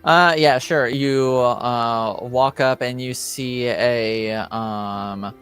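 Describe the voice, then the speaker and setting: Guy running a tabletop rpg, kinda deep voice, hesitant and hedging, music playing behind him. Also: fairly even intonation, a bit bright, clean tone. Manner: halting, hedging, loosely improvised phrasing. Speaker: game master. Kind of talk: improvised tabletop game narration.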